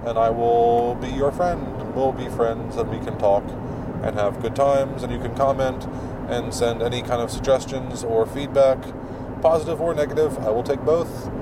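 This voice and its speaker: A man hosting his own podcast, with a deep, mumbling voice, rambling through plugs with lots of fillers while he drives. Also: fairly even intonation, normal speed. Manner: rambling, filler-heavy, self-deprecating. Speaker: podcast host. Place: in a car while driving. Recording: distant mic with road noise.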